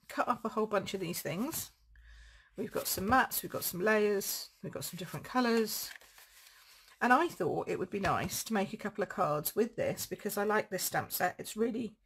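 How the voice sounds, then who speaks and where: A woman with a croaky throat, chatting casually as she demonstrates card making at home. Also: mid-range voice, slight background noise. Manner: chatty, rambling, thinks aloud while crafting. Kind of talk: casual live craft demo.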